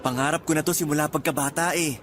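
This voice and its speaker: An earnest young Filipino man on his first trip abroad , played by a male actor in a radio drama. His voice sits a little high and sounds conversational and eager, with light music underneath. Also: fairly even intonation, fast, fairly bright tone, lightly acted. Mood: wistful, unsure.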